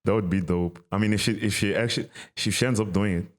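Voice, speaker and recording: Deep-voiced young man chatting. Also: fairly even intonation, fast. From Mozambique. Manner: informal and slangy. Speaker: podcast host. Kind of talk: casual chat, switching between languages.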